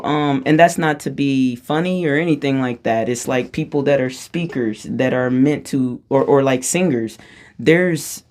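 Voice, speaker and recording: A woman with a low-register voice telling a personal story in a casual, rambling way, often breaking off and restarting her sentences and laughing as she talks. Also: darkish tone. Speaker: vlogger.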